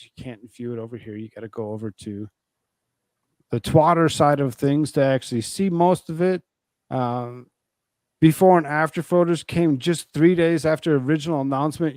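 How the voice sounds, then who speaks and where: An American man hosting a show with casual news commentary, talking in a halting, loosely phrased way. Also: medium pitch, darkish tone, no room sound. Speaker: show host.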